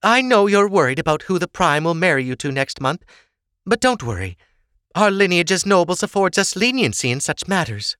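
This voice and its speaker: Man doing a noble mom in an audiobook, worried and loving, coaxing, voice pitched way up, bright. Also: big pitch swings, normal speed, no room sound, studio quality. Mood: reassuring. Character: Sorsha, worried, drinking, loving matriarch and noble mother.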